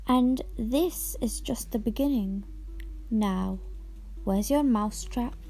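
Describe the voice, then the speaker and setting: An eccentric fairy godmother from a storybook, acted by a female reader. She is breezy and fondly bossy, speaking high and taking her time over music. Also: dark tone. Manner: airy, brisk, fondly bossy. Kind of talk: storybook character voice.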